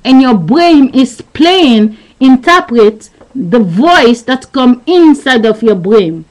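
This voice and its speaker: High-voiced woman lecturing insistently to webcam. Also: expressive, takes it slowly, a little mellow, low-quality recording. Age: 30s. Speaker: vlogger. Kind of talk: rambling philosophical webcam monologue. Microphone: webcam mic.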